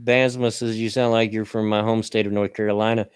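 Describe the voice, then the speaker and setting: A man's voice: lowish voice, level tone, a little fast, clean tone, dry recording. This is an older man with a scratchy voice and a mixed Hoosier-Kentucky accent. He chats loosely and laughs easily on a stream, his voice close and dry. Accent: Southern Indiana and Kentucky. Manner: laughs often, folksy. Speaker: show host. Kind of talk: casual stream chat.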